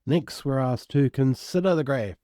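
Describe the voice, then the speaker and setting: A man with a croaky voice, casually working through an algebra exam step by step as a tutor, recorded at home. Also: mid-range voice, expressive, moderate pace, mellow, no echo, clean studio sound. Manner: casual, self-deprecating, explains step by step. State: croaky voice from illness. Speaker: maths tutor. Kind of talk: worked exam walkthrough.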